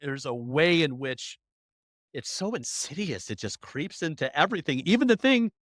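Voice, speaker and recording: Bright punchy voice, animated emphatic guest, dry close sound. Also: medium pitch, no echo. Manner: animated, emphatic, quick bursts. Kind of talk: conversational interview.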